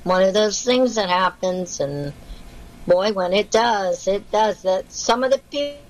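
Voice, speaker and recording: A woman's voice: mid-range voice, animated, unhurried, poor audio. A woman guest on a video call, talking casually and rambling, with many fillers and repeated words. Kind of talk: casual interview talk.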